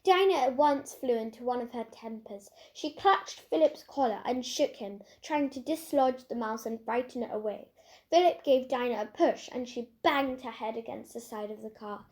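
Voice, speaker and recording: A woman reading a children's story aloud in an expressive narrating style. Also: a little high, normal speed. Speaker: storybook reader.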